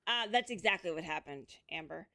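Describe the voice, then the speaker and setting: Bright-voiced woman streamer, rambling easy chat. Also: on the low side, lively intonation. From Pacific Northwest. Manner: unhurried, rambling, many ums. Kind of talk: casual chat while gaming.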